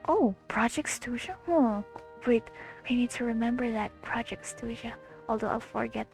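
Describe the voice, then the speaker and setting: Creaky-voiced woman reacting to a game. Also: fairly high pitch, normal speed, a little mellow. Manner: slangy, swearing, exclamatory reactions. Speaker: gamer reacting to a story quest playthrough. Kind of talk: casual reaction commentary over a video game. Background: video game music.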